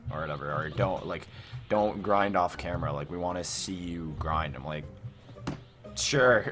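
Teen male gamer, Canadian accent, lowish voice, rambling casual commentary over music. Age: teens. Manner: rambling, repeats himself for emphasis. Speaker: gaming YouTuber.

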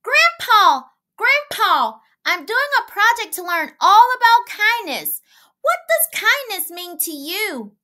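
A woman voicing an eager, inquisitive young schoolgirl in a storybook, with a sing-song, excited way of calling out. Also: very high-pitched, slow, pitched above the performer's own voice, heavily acted.

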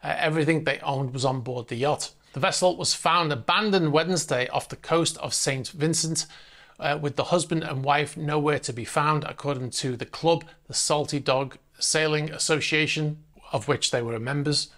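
A man in his forties presenting a news bulletin from a studio on a lapel mic, reading briskly with frequent fillers and restarts. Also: a little high, fairly bright tone. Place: indoor studio in front of a screen.

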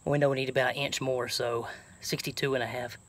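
A man's voice: mid-range voice, level tone, fast, a bit bright. Folksy Southern American man, thinking aloud. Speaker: truck camping vlogger. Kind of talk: casual DIY chat to camera. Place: outdoors and inside a truck bed by an open garage. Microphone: handheld phone at arm's length.

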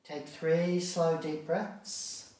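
A man guiding a relaxation practice as a yoga instructor, speaking calmly and soothingly in short phrases with long pauses. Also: a little high. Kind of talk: guided meditation, calm step-by-step instruction. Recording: very echoey room, distant mic.

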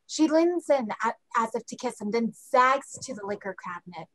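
A woman's voice: a little high, expressive, normal speed, rough recording. A woman reading out stage directions as scripted narration for a play. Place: indoors at home, on a group video call.

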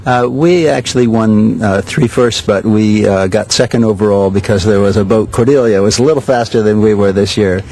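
An older man directing a sailing school, answering interview questions in a measured way with many pauses. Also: on the low side, darkish tone, a little hiss or hum, low-quality recording. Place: outdoors at a regatta.

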